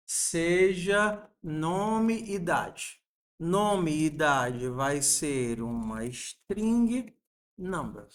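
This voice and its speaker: A man, teaching a programming lesson and patiently asking his students rhetorical questions. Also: fairly high pitch. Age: 40s. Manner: patient, asks the class rhetorical questions, uses diminutives. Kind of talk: coding lesson screencast.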